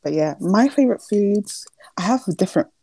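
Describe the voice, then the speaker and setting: A woman's voice: mid-range voice, lively intonation, warm tone, slightly rough, no echo. A young woman in her early twenties, from Nigeria, co-hosting a casual podcast chat with a playful, joking manner. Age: early 20s. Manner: playful, joking, quick asides. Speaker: podcast co-host.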